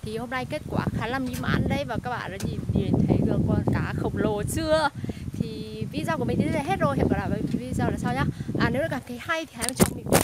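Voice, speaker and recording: A young woman vlogging outdoors by a fish pond, chatty and excitable, often laughing. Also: fairly high pitch, fast, few pauses. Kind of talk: casual outdoor vlog. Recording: noisy outdoor phone recording.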